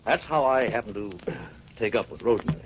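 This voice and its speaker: An actor in a 1940s radio drama playing a smug, glib small-time politician, fussy and ingratiating. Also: male voice, fairly low pitch, lively intonation, fairly quick, close to an everyday voice. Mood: smug, chatty. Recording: dull old radio recording, hiss.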